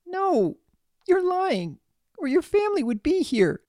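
Young cartoon filly: very high, childlike, demanding, emotionally swingy storybook character. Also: wildly swooping pitch, moderate pace, no echo, studio quality. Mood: tearful disbelief. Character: stubborn, upset young female cartoon pony filly.

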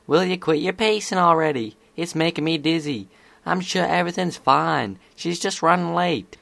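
A man voicing a caring, excitable young female pony in a story reading. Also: a little high, moderate pace, gravelly, no room sound, pitched above the performer's own voice. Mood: concerned, impatient.

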